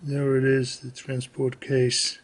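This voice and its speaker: A man giving a casual, unscripted hands-on equipment demonstration, halting and matter-of-fact as he goes. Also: medium pitch, monotone. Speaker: radio hobbyist demonstrating equipment. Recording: faint background noise.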